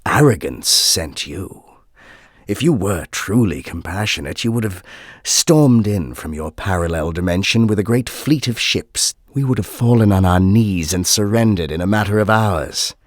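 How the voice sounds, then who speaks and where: A man playing a suave, world-weary, cynical ruler in a radio drama, speaking deliberately and condescendingly with theatrical pauses. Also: sits low, expressive, no room sound, studio quality. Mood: sardonic, lofty.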